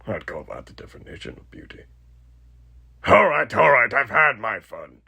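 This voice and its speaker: An old, undead killer machine from a horror audio drama, gleefully menacing his captive. The voice is harsh, piercingly bright and full of gravel, swinging widely between mocking highs and low muttering. He takes his time, theatrical and cruel. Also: very animated, normal speed, heavily acted. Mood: savoring, sinister. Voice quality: raspy, gravelly. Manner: theatrical, mocking, muttering asides, sinister chuckles. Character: sadistic elderly undead killer animatronic, gleefully menacing captor.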